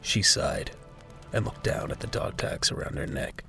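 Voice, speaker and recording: A man reading scripted narration for a military audio drama as its narrator. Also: moderate pace. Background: music.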